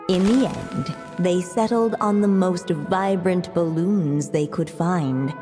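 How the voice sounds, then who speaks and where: Slow, mellow storybook narrator, reverberant. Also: female voice, on the low side. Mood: solemn, tender. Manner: measured, solemn, gentle. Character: solemn, gentle female storybook narrator. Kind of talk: scripted fairy-tale narration in a video game. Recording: long reverb, music underneath.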